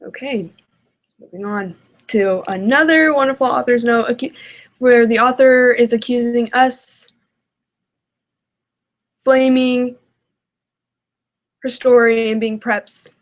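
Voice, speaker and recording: A woman comments casually as she reacts to something she is listening to. Her voice is a little high and her pace is slow. She sounds hesitant and exasperated, thinking aloud as she goes. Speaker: reaction video host.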